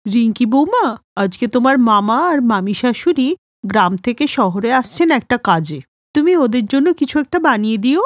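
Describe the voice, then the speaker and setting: A woman voicing a stern, exasperated, matronly older mother-in-law in an animated moral story. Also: on the high side, normal speed, dry recording, clean studio sound, close to an everyday voice. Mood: instructing.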